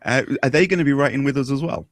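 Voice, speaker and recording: A man's voice: mid-range voice, fast talker, no room sound. Playful male livestream host, close dry sound. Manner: playful, jokey, enthusiastic. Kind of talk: casual livestream chat.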